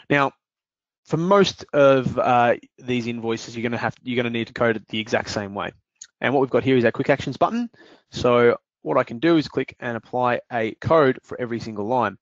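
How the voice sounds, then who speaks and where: A man demonstrating invoice software step by step in a screen-recorded walkthrough, explaining calmly with the odd "uh" and "um". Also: mid-range voice, dry recording. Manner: explanatory, steady, with fillers. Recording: dry, dull lo-fi voice-over.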